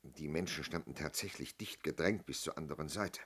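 An actor in a German radio play playing a polite older man giving a statement, husky and halting, courteously over-explaining. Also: very deep voice, a bit bright, full character voice. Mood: polite, hesitant. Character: polite, hesitant older male robbery victim giving a statement.

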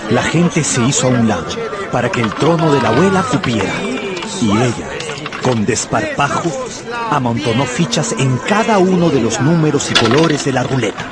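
An actor playing an earnest, lovestruck young man who narrates his own story in an expressive radio drama style. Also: medium pitch, moderate pace, loud music behind the voice, close to an everyday voice. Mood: earnest, narrating. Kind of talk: dramatised first-person narration.

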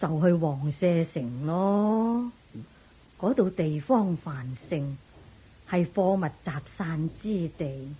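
Fretful, slow-talking widowed mother, husky. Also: fairly low pitch, expressive, warm tone, full character voice, low-quality recording. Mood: practical, firm. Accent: Hong Kong Cantonese. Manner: sighing, fretful, scolding at interruptions. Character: anxious, practical widowed mother of two grown sons. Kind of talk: Buddhist radio drama acting.

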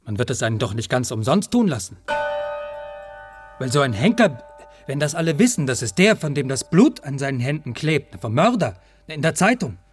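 An actor in a radio play voicing an eager working-class man who justifies himself in halting, rambling colloquial speech. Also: medium pitch, sing-song, faint music behind, lightly acted. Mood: insistent. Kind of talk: radio play monologue and dialogue.

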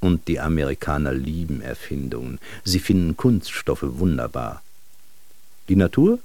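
A man's voice: a bass voice, animated, normal speed, dark tone, no room sound. A man acting in a literary German radio drama as a wry, world-weary travelling bristle salesman, narrating in a reflective way. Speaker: narrator and lead actor.

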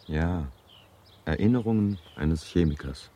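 A man's voice: low-pitched, measured pace, warm tone, slight background noise. An actor playing a terse, insistent male university student in a radio drama.

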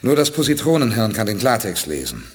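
A man's voice: on the low side, very animated, a little fast, in a large hall. An actor playing a decisive, commanding male space expedition leader in a 1980s radio play. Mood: thoughtful. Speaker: leader Perry Rhodan.

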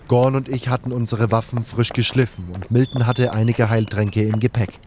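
A man's voice: medium pitch, darkish tone, a little hiss or hum. A man reading scripted first-person narration for an audio drama.

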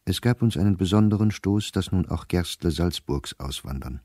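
An actor playing a weary, gloomy middle-aged diarist, reading in a measured, sober way for a radio drama. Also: male voice, low voice, warm tone, slightly rough, no echo, studio quality.

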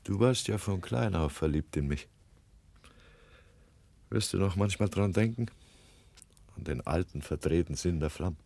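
An actor playing a middle-aged married man in a German radio drama, gravelly and husky, tender, folksy and wistful. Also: low-pitched, lively intonation, measured pace, long pauses, darkish tone, no echo, exaggerated voice. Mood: tender, pleading.

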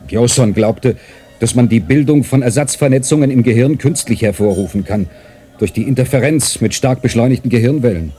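A man's voice: mid-range voice, brisk, dark tone, faint music behind, lightly acted. A man playing a tormented, guarded astronaut trapped in his own time, in a German radio play. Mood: insistent, bitter.

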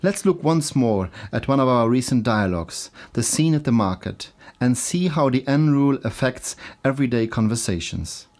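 A man teaching in a scripted language lesson, explaining didactically and enunciating examples slowly and deliberately. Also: medium pitch, lively intonation, darkish tone.

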